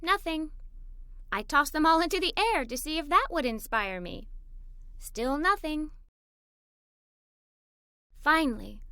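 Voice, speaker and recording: A woman plays an eager, imaginative little girl narrating her own storybook adventures. The voice is high and bright, with animated, swooping intonation. The delivery is expressive and enthusiastic, made for young listeners. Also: dry recording, clean studio sound, exaggerated voice. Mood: curious. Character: Molly, excited adventurous little girl telling her day. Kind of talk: children's read-aloud story narration.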